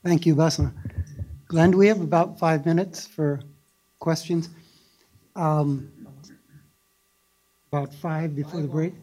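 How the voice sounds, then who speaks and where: A man's voice: a little high, fairly even intonation, slow, pauses often, mellow, rough recording. A man moderating a policy panel, summing up the talks in a hesitant way with frequent "uh".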